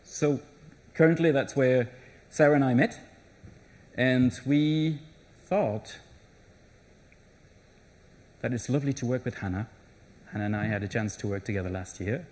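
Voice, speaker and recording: Middle-aged guy presenting at a conference, mid pitch, chatty and halting, lots of um. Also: a little mellow. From Germany. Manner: conversational, with frequent restarts and fillers. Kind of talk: live conference talk. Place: conference session room.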